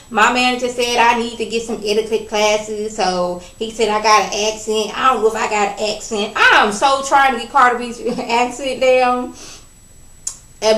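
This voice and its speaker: A woman casually recapping a reality TV episode in a chatty, animated way, acting out what people said. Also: mid-range voice. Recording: roomy, distant from the mic.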